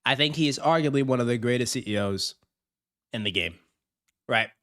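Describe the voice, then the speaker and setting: A man's voice: medium pitch, brisk, a bit bright. A man hosting a livestream, reacting to a video with hyped, slang-heavy banter and frequent laughs. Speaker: streamer host. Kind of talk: casual livestream commentary.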